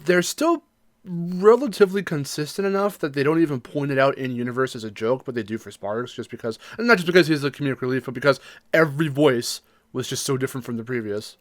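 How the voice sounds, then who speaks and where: A man co-hosting a casual podcast discussion, talking in a halting, reflective way and hedging his opinions. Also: mid-range voice, dry recording, studio quality. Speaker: podcast co-host.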